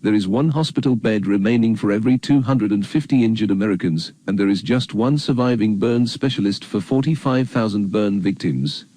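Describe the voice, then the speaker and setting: Male narrator, lowish and very mellow, for a sober educational filmstrip; measured, factual, scripted read. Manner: grave, measured, matter-of-fact reading.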